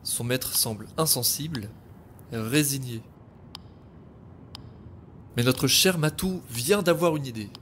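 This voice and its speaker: A man narrating his own podcast story in a scripted, dramatic, expressive way. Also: mid-range voice, slow, long pauses, a little hiss or hum. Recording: music playing behind.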